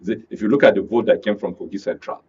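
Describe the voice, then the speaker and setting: A man with a Nigerian accent commenting on politics as a panel guest, deliberately, in short broken phrases. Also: on the low side, warm tone, slight background noise. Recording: dull lo-fi broadcast audio.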